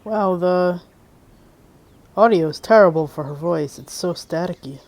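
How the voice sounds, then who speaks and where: Lowish-voiced woman commenting on gameplay. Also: a little slow, a little mellow, a little hiss or hum. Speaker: game walkthrough player.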